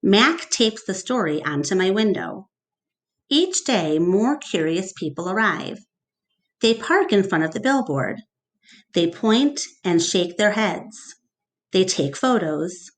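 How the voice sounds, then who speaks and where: Slow, very expressive woman reading aloud. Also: mid-range voice. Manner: deliberate, expressive. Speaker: teacher reading aloud to class. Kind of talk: storybook read-aloud narration with questions to children.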